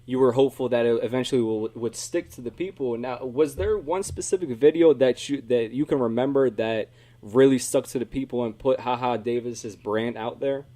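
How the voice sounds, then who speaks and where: A man's voice: medium pitch, moderate pace, warm tone. A man from the Philadelphia area hosting a podcast, asking questions in a casual, slangy way. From United States, Philadelphia area. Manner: slangy, informal. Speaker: podcast host. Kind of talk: casual interview.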